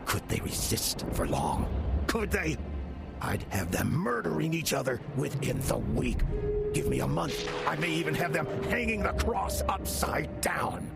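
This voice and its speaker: An actor playing a wry, lazy old male demon who poses as a churchgoer in an audio drama, gravelly, husky and theatrically sardonic. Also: a little high, very animated, unhurried, loud music behind the voice, full character voice. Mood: menacing. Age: older.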